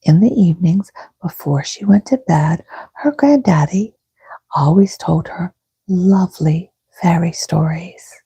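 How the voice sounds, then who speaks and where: A woman reads a bedtime story in a very breathy near-whisper with a low, soft pitch. She takes her time, gentle and soothing, in an ASMR style. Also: unhurried, dry recording. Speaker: host reading bedtime stories.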